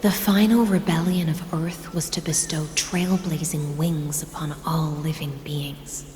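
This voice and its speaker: An ancient, dignified lady of the waters speaks in a low, hushed voice. Her pace is slow, her manner formal and wise. Long reverb trails her in this game drama. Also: steady tone, background noise. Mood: solemn. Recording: echoey long reverb, faint music.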